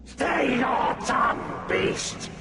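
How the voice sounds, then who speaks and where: Gravelly, haughty dark god. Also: male voice, extremely high pitch, expressive, extremely slow, distant mic, loud music behind the voice, a loud crowd, full character voice. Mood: angry. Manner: formal, imperious. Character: stern, haughty, suspicious male dark god. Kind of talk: fantasy video game acting.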